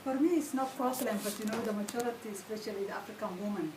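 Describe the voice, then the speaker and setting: A woman speaks as a radio guest, earnest and halting, circling back over her own words. Her voice sits a little high and lands from a step away from the microphone. A roomy studio echo hangs around it over faint background hiss. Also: distant mic. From Somalia. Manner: halting and repetitive, restarts phrases, earnest. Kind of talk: radio panel discussion.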